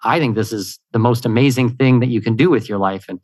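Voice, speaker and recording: A man from Canada, a podcast guest answering questions in an upbeat, energetic way, with plenty of "you know" fillers. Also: medium pitch, fast, no echo. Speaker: guest, expat consultant. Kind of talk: conversational interview answers.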